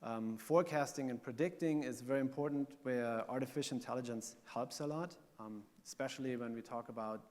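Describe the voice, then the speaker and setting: A German-accented man giving a panel talk at a conference, earnest and explanatory, pausing on ums. His mid-pitched voice carries a long hall reverb. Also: a little mellow. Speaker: panel speaker.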